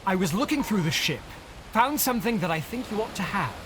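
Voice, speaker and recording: An actor playing an eager, deferential first mate, genteel and flowery, in a theatrical audio drama with music under him. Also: male voice, high-pitched, big pitch swings, a little fast, noisy background.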